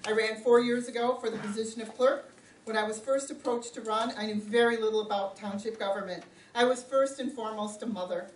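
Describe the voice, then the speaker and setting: A middle-aged woman giving a loud, plainspoken opening statement as a candidate at a community forum. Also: on the high side, steady tone, fairly quick. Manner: loud, plainspoken, self-joking. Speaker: township supervisor candidate. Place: community meeting room. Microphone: no microphone, picked up from a distance. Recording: roomy, distant, faint room noise.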